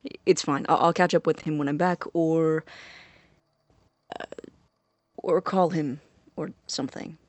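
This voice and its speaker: Slow, hesitant young man played by an actor. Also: medium pitch, fairly even intonation, no echo, natural voice. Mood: hesitant, guilty. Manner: hesitant, halting, self-conscious. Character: awkward, hesitant young man leaving for college. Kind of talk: naturalistic audio drama acting.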